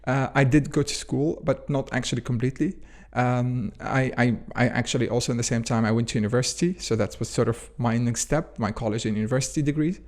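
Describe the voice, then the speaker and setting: A man's voice: medium pitch, fast talker, hardly any pauses, a little mellow. Rambling man. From Jordan. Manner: rambling, leans on "actually" and "sort of". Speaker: interview guest, food scientist and company founder. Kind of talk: conversational interview answers.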